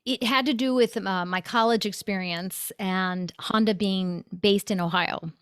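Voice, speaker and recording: A middle-aged woman, a consultant and author, answering interview questions in a storytelling way; she is animated and laughs easily. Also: mid-range voice, measured pace, clean tone, no room sound, studio quality.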